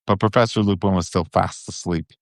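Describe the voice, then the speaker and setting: A man's voice: low voice, steady tone, a little fast, vocal fry, dry recording, clean studio sound. A man narrating a storybook for bedtime in an informal, occasionally stumbling way.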